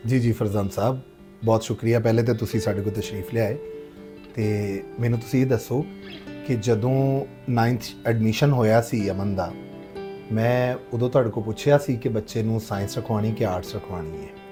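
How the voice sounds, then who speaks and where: A man's voice: fairly low pitch, steady tone, brisk, music in the background, lightly acted. A man playing a patient schoolteacher, polite and explanatory, with a Pakistani Punjabi accent. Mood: calm, reasoning. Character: patient, reasonable male schoolteacher explaining to parent. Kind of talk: acted moral-story drama.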